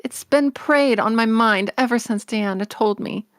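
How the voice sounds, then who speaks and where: A woman playing an excitable, dreamy orphan girl in a storybook read-along, breathless and gushing. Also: fairly high pitch, unhurried, smooth, dry recording, clean studio sound, close to an everyday voice. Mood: worried. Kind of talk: acted audiobook read-along.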